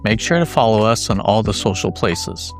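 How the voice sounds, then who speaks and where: A man's voice: on the low side, lively intonation, moderate pace, soft background music. A man speaking as a podcast host, in a presenter's style. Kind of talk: interviewing and scripted sign-off.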